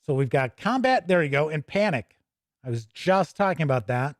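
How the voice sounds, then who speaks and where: Higher-voiced American man, casual show host. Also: animated, moderate pace, no room sound, studio quality. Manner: rambling, thinking aloud. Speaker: tabletop gaming show host. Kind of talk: casual off-the-cuff game book review.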